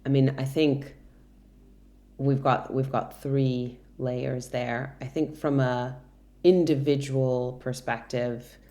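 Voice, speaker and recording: A woman's voice: a bass voice, flat delivery, slow pace, dark tone. A woman in her thirties, from Brazil, with an American accent, speaking as an interview guest and answering at length with frequent ums and restarts. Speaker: guest, product director.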